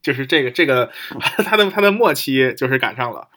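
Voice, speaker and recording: A man's voice: mid-range voice, expressive, brisk, sharp tone. A man in a casual technical discussion as a podcast co-host, often repeating phrases and checking in with tag questions. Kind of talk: casual technical discussion of portable audio players.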